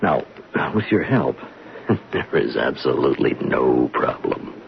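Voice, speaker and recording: A very deep, gravelly American voice plays a smug man in his forties, a fired adman turned thief. He is sly and persuasive, with lively intonation, in old radio drama style on a muffled recording. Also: slow pace, a little hiss or hum, heavily acted. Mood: smug, scheming. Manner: chuckling, sly, self-assured.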